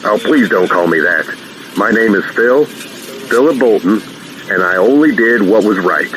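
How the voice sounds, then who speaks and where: An actor playing a calm, modest veteran airline captain talking over the radio in an audio drama, plain-spoken and measured. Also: male voice, on the low side. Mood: modest, steady. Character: calm veteran airline captain who retook his hijacked plane, modest. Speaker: airline pilot. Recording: noisy cockpit radio transmission.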